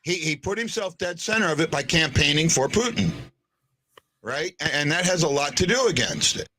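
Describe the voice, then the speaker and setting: A man's voice: fairly high pitch, expressive, normal speed, pushed and bright. A man hosting a sports podcast in casual chat, often hesitating, restarting sentences and checking in with "right?". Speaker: podcast host.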